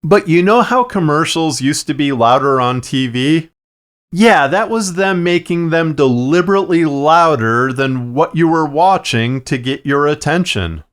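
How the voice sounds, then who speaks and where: A man narrating a tutorial in a measured, plain-spoken, instructional way, explaining his production process step by step. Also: fairly high pitch, clear, resonant tone. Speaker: fiction podcast producer and narrator. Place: acoustically treated recording space.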